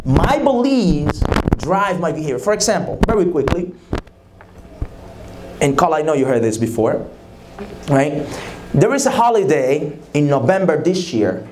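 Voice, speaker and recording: Man teaching, noisy stream. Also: on the high side. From Dominican Republic. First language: Spanish. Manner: repetitive, drills key phrases and quizzes the audience. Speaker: speaker at a church leadership summit. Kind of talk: leadership teaching talk. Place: church. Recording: noisy live-stream recording.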